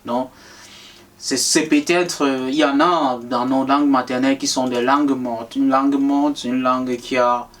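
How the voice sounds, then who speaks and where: A young man from Cameroon answers interview questions in a halting, filler-heavy way, restarting his sentences often. His voice stays flat and even in pitch. He sounds a little distant from the microphone, as if on a call. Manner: hesitant, many fillers and restarts. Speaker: guest author.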